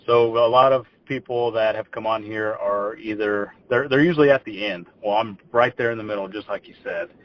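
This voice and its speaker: A man's voice: fairly low pitch, brisk, few pauses, slight background noise. A man, telling his story as a podcast guest in a rambling, casual way. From Texas. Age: 20s. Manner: rambling, repeats words when starting phrases. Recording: dull lo-fi audio.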